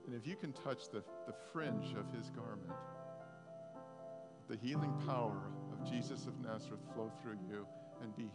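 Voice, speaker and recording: A pastor leading a prophetic prayer time, speaking softly and haltingly, repeating his words. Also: on the low side. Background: guitar music.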